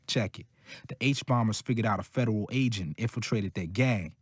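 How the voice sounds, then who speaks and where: Husky, lowish male street informant for a game: casual, confiding, slangy. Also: moderate pace, dry recording, studio quality. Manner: slangy, laid-back street talk. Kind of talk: video game voice acting.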